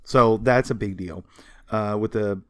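A man's voice: lowish voice, unhurried, no room sound, clean studio sound. A man co-hosting a podcast, explaining history in a casual, conversational way with informal quoted asides. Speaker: podcast co-host.

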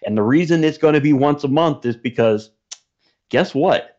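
A man's voice: a little high, moderate pace, a little mellow. A man chatting casually as a podcast guest over a remote call. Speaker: podcast guest, show presenter. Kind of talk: casual chat. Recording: dull, lo-fi call audio.

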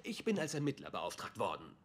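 A man's voice: lowish voice, huge pitch swings, fairly quick. An actor playing an eccentric, pushy male detective who is talkative, inquisitive and theatrical. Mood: eager, theatrical. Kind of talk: dubbed series dialogue.

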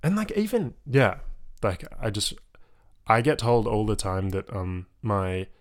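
A man's voice: on the low side, lively intonation, measured pace. A young man with an Australian accent, hosting a casual talk in an earnest, rambling way. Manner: earnest, rambling, heavy use of like. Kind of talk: casual conversation.

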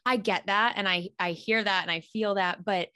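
A young woman hosting a casual podcast chat, bubbly and quick to laugh, with lots of "like" in her speech. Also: mid-range voice, steady tone, bright, forceful tone, no room sound, studio quality. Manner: bubbly, laughs often, heavy use of "like". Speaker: podcast host.

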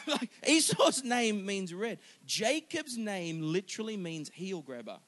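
Pastor, 40s male, fairly high voice, punchy emphatic sermon with jokes. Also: slow pace. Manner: animated, emphatic, joking with the congregation.

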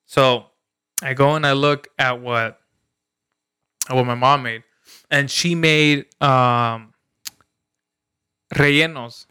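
A man's voice: medium pitch, fairly even intonation, takes it slowly, pauses often, pushed and bright, smooth, dry recording, clean studio sound. A man from El Salvador telling a story in casual podcast chat, laughing often and using slang. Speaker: podcast co-host.

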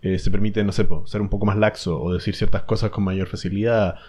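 A man's voice: fairly low pitch, fairly even intonation, brisk, a little mellow. A young Chilean man hosting a podcast, talking in a thoughtful, rambling way with many fillers. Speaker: podcast host. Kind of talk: casual chat.

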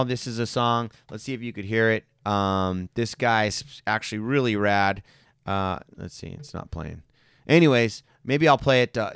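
A man's voice: mid-range voice, a bit bright, clean tone, no room sound, studio quality. A young man hosting his own podcast, chatting casually and rambling with lots of fillers, restarts and slang. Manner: rambling, laid-back, many fillers and restarts; slangy, says man and guys. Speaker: podcast host. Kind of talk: casual solo podcast chat.

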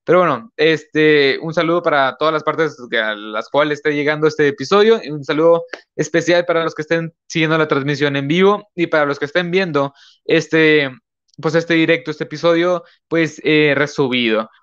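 A man's voice: fairly high pitch, normal speed, a bit bright, smooth, dull audio. A young man from Mexico, hosting a casual live-streamed podcast, rambling with many fillers and self-corrections. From northern Mexico. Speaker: podcast host.

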